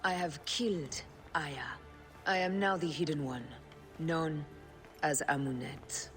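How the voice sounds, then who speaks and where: A woman's voice: deep voice, fairly even intonation. An actress playing a stern woman who founds a secret order, speaking in a grave, solemn video game cutscene. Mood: cold. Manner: slow, solemn, grave delivery. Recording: game audio with long reverb. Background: game score music.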